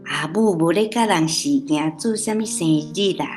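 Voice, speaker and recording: A woman's voice: fairly low pitch, normal speed, a little mellow, faint music behind, close to an everyday voice. A woman acting a kindly, patient old grandmother in a radio drama, speaking Taiwanese Hokkien-accented speech. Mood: modest.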